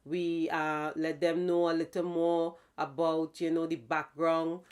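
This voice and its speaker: A woman hosting a casual interview, speaking in an affirming, unhurried way with many fillers. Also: sits low, little pitch movement, a little mellow. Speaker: host.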